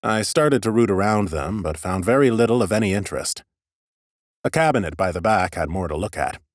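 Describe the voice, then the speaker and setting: Guy narrating a fantasy audiobook, lowish voice, lots of expression, really dry sound. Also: animated, a little fast, no echo. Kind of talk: expressive first-person scripted narration.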